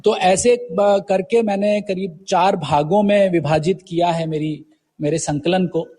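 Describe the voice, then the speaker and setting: A middle-aged man from India with a slightly high, husky voice. He speaks as an interview guest, reflective and conversational, slipping English words into his sentences as he tells anecdotes. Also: a little slow, dark tone. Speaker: guest poet and professor.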